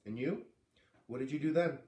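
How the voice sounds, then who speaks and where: A man voicing a calm, firm father in a storybook, with a measured, questioning manner. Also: on the high side, roomy sound, distant mic, natural voice. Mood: stern questioning.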